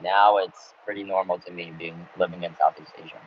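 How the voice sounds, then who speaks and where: A man, though his is Russian. He answers live chat questions in a relaxed, chatty way and laughs between answers. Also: low voice, fairly quick. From Ukraine, grew up in the United States. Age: 20s. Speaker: travel YouTuber. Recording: dull lo-fi sound with faint background noise.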